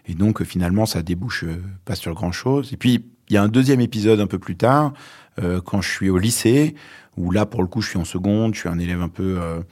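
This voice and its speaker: Deep-voiced reflective man in his forties. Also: fairly quick, darkish tone. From Lebanon. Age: 40s. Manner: reflective, many hesitations. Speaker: author and journalist guest. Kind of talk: interview, personal storytelling.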